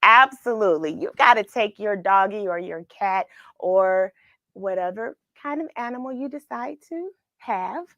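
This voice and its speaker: A woman's voice: mid-range voice, animated, unhurried, bright, forceful tone, no room sound, dull audio. An American woman hosting a read-along for children, chatting with viewers, asking them questions and encouraging them. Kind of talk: chatty livestream greetings and story reading for children.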